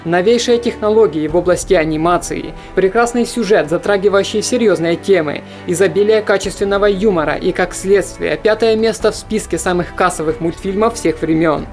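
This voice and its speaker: Steady voice-over for a film countdown. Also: mid-range voice, fairly quick, hardly any pauses, faint music behind. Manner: steady, informative voice-over delivery. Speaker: film channel narrator. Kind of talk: scripted narration of a film countdown.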